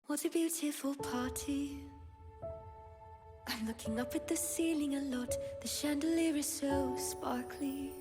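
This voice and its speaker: A soft, wistful young woman at a ball in a musical, hurt and drawn out in her slow speech. Her voice is very high, and loud music plays beneath her. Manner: soft, wistful, with sung passages. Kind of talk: musical theatre performance. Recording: song over loud music.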